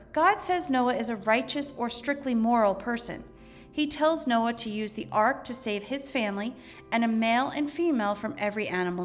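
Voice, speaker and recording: A woman with a slightly high-pitched voice reads lesson material aloud as a teacher would. Her delivery is measured and instructional. Music plays behind her narration. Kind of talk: educational read-aloud narration.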